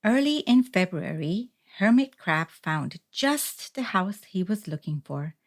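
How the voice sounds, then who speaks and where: A woman narrates a children's picture book at a slow pace in a medium-pitched voice. Her delivery is deliberate and expressive, clearly scripted storytelling. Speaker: storybook reader.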